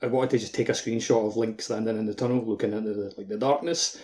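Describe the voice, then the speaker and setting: A man's voice: on the low side, fairly even intonation, fast talker, darkish tone. A man co-hosting a podcast, chatting very informally about a video game. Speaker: podcast co-host.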